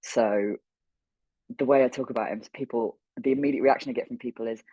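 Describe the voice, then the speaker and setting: Husky-voiced young man chatting casually. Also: medium pitch, fairly even intonation, fairly quick, no echo, lo-fi sound. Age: young adult. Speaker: voice actor interview guest. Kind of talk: casual interview chat.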